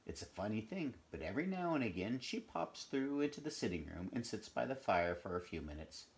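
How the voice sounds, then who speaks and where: A man reading the part of a plump, pleasant, gentle woman who owns dogs, in a conversational storybook manner. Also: fairly low pitch, big pitch swings, fairly quick. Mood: gentle, musing.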